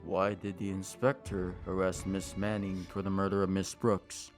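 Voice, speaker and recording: Male radio-drama announcer, very low and gravelly, scripted delivery over music. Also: flat delivery, moderate pace.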